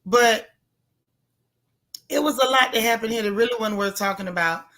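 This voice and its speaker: A woman hosting a casual live recap chat, with a loud, gravelly, hoarse voice, greeting viewers by name with folksy slang. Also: a little high, moderate pace. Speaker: livestream host.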